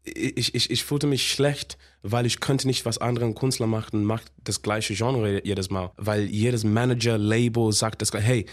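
A man from Zimbabwe with an English accent, a musician guest speaking halting German mixed with English, animated and laughing often. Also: fairly low pitch. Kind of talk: casual radio interview.

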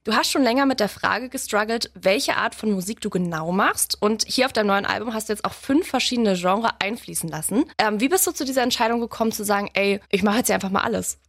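A woman's voice: medium pitch, quick pace, hardly any pauses, a bit bright. A woman radio host casually interviewing a musician, chatty and using youthful slang.

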